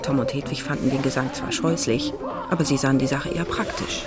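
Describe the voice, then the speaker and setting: A woman's voice: extremely low pitch, steady tone, a little fast. A woman narrating a children's radio play in a scripted storytelling style. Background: music.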